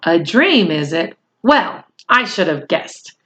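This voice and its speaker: A woman's voice: on the low side, big pitch swings, takes it slowly, a bit bright, exaggerated voice. A woman voicing a weary but chipper young British soldier in a storybook, full of bemused wartime slang.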